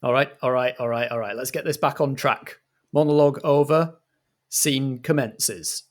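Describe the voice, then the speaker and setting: A man with a middling pitch narrates and directs an improvised drama. He moves between storytelling and instructions to the cast. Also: normal speed.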